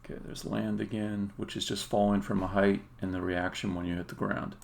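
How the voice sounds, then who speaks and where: A man giving a casual live-stream demo as a game developer, halting and thinking aloud as he goes. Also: fairly low pitch, little pitch movement, creaky. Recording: noisy, with keyboard typing and clicking.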